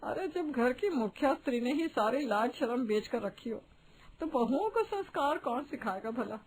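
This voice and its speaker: A disapproving, gossipy married lady acted by a woman in a moral-story cartoon. Her high voice is prim and aggrieved. She laments dramatically, stretching words to show how shocked she is. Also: animated, normal speed, darkish tone, husky, poor audio.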